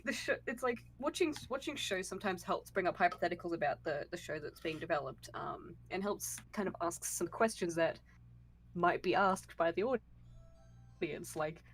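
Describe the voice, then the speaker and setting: A woman talking casually as a guest on a podcast about her creative work, with a halting, giggly delivery. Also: lowish voice, animated, faint music behind. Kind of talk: casual chat.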